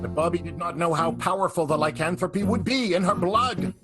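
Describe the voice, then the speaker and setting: A man's voice: on the high side, brisk. A man narrating a comic improvised radio serial. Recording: loud plucked guitar music underneath.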